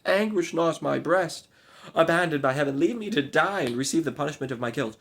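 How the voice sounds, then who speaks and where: A man voicing a terrified young noblewoman in a dramatic gothic reading, pleading in a strained falsetto. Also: lively intonation, moderate pace, a bit bright. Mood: anguished. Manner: pleading, breathless cries.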